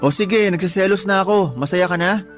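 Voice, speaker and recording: An actress playing a jealous, insecure young lover with a Filipino accent in a radio drama, sulky and grumbling in manner. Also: female voice, medium pitch, fairly quick, soft background music, natural voice. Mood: jealous, irritated.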